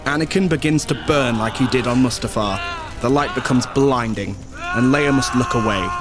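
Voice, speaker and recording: A man narrates a scripted audio drama, setting each scene. His voice is mid-pitched, with music clearly underneath. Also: normal speed.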